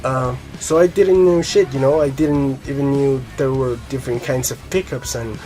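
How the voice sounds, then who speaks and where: A man's voice: mid-range voice, fairly even intonation, normal speed, warm tone. A young man from Colombia with a Colombian Spanish accent, chatting casually to camera as a guitar vlogger, rambling with many fillers and casual swearing.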